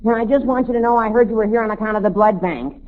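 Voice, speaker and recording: An actor playing an earnest, indignant high school boy in love, halting and slangy, in a 1940s radio comedy. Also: close to an everyday voice. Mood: grateful. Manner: halting, boyish slangy talk. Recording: thin, tinny old-time radio recording.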